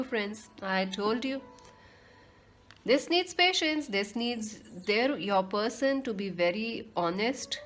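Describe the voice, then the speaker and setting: A woman with a sweet voice doing a casual tarot card reading, speaking earnestly to viewers and calling them friends. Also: mid-range voice. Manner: earnest, repeats herself, addresses listeners as friends. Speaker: tarot reader.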